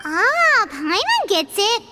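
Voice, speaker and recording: A woman voicing a tiny, childlike, excitable and nosy fairy companion in a video game. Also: very high voice, takes it slowly, over loud music, full character voice. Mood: curious. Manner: speaks of herself in the third person, exclamatory. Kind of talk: video game character dialogue.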